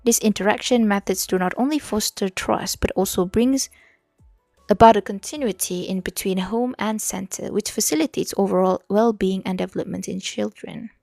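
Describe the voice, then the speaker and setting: A woman's voice: medium pitch, moderate pace, gravelly, a little mellow, no room sound, clean studio sound. A young Malaysian woman with a Malaysian accent, chatting casually on a study stream, full of fillers, switching between English and Malay, sometimes reading her notes aloud. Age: young adult.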